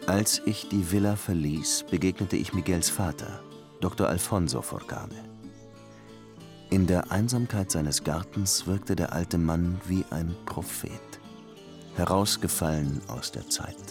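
Brooding ironic detective narrator, creaky low voice, music playing under it. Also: male voice, measured pace. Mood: reflective. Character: reflective, ironic male detective narrating his case. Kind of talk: scripted first-person radio drama narration.

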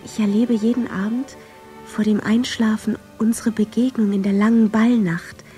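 A woman playing a tender, lovestruck young violinist of the 1910s, reading her love letters in a measured, yearning radio drama style. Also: a little high, steady tone, warm tone, close to an everyday voice. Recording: clear violin music under the voice. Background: violin music.

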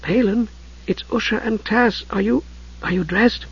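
Man voicing a soft, earnest young woman. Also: mid-range voice, brisk, husky, highs cut off, natural voice. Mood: timid. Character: anxious, lovestruck young woman, earnest and determined.

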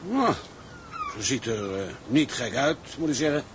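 An actor playing a plain-spoken seaman and business partner, measured and understated, in drama dialogue. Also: medium pitch, sing-song, noisy background. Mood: easygoing. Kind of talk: Dutch audio drama dialogue.

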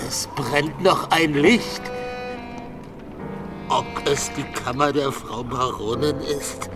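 An actor playing a wistful country man in a German radio play, speaking in a halting, musing way. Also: mid-range voice. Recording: radio drama, music and effects behind.

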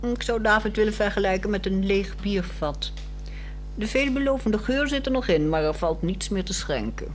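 A woman's voice: medium pitch, normal speed, a little hiss or hum, natural voice. A woman with a Netherlands Dutch accent playing a bored, frank housewife in a 1960s radio drama, probing and pointed in a dry way.

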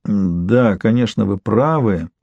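Audiobook role: male reader as a guarded, moneyed husband, mellow, reluctant, stalling over every deal. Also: fairly low pitch, takes it slowly, creaky, no echo, muffled recording, exaggerated voice. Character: reluctant, wary, well-off married businessman.

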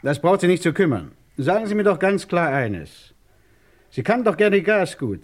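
An actor playing a domineering, short-tempered crime boss in a radio play, mocking and commanding. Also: male voice, sits high, big pitch swings, normal speed, a little hiss or hum. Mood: brusque.

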